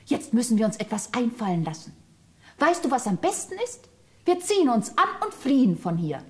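An affectionate little girl in a fairy-tale radio play. Her high voice is slightly rough and husky, swinging expressively as she speaks with childlike earnestness. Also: very animated, normal speed. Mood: earnest, worried.

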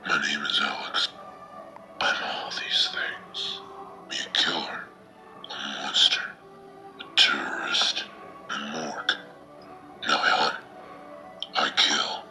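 An actor playing a brooding, remorseless killer in a video game, speaking in grim, terse phrases. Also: male voice, a bass voice, flat delivery, slow, pauses often, bright, forceful tone, in a whisper, faint music behind, full character voice.

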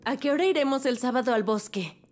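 A calm, motherly cartoon mom played by a woman with a fairly high voice and a Latin American accent. She sounds kindly, with music behind her. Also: quick pace, natural voice. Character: kindly mother of a young boy, calm adult. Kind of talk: children's cartoon voice acting in Spanish.

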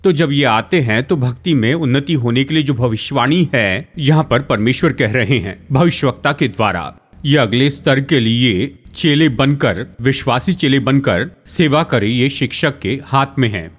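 A man's voice: medium pitch, lively intonation, moderate pace, slightly rough. Middle-aged man teaching, repeating key phrases. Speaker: guest Bible teacher. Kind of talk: explanatory religious teaching in a studio talk.

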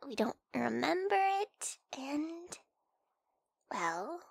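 A woman acts out a flustered young female friend in an intimate ASMR roleplay. Her voice is high and soft, with hesitant, stammering delivery. The sound is dry, with hardly any room in it. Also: very animated, extremely slow, no room sound, exaggerated voice. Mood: sheepish, hesitant. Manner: hesitant, stammering, soft-spoken with breathy whispered moments.

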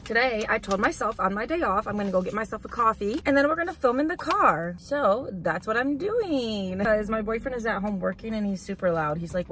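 A woman's voice: medium pitch, a bit bright, a little hiss or hum. A woman in her twenties, chatting casually and rambling for a vlog while sitting in a parked car. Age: 20s. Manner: chatty, rambling, lots of "like" and "literally". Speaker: vlogger. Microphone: camera on dashboard.

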